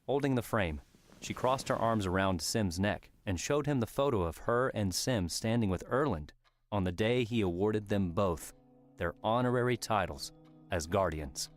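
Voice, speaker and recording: A man's voice: fairly low pitch, animated, moderate pace. A man reading scripted story narration as an audiobook narrator. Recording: music under the voice.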